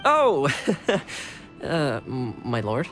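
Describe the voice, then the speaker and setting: A woman playing a deferential young retainer in a video game, polite and gently wry. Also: rumbling low, wildly swooping pitch, extremely slow, heavily acted. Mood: flustered, admiring. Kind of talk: scripted video game dialogue. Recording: game music behind the voice.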